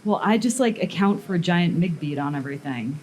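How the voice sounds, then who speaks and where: A woman's voice: fairly low pitch, expressive, brisk, slight background noise. A woman blacksmith and sculptor giving a live workshop demonstration to an audience, talking casually and thinking aloud with fillers and restarts. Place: metal workshop at a smithing event.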